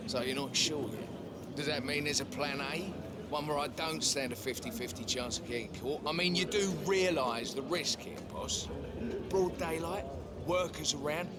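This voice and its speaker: A man's voice: on the high side, normal speed, natural voice. A man playing a cynical, wary hired fixer in a video game, with a dry, sardonic manner. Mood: uneasy, pressing. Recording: loud outdoor background noise.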